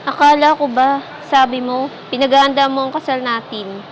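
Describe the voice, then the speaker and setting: Woman acting worried young filipino wife, high pleading voice, radio drama, muffled n noisy audio. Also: steady tone, moderate pace, lightly acted. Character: worried, conciliatory young wife between husband and mother. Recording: dull lo-fi sound, clear background noise.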